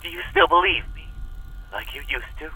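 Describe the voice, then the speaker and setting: Bright, fervent cult leader on tape. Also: male voice, fairly high pitch, normal speed, recorded from a distance, poor audio. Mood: pleading, urgent. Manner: theatrical, preacher-like, intense and manipulative. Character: fanatical hunted male cult leader, pleading and menacing. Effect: played back as a taped message. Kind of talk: cinematic horror audio drama monologue.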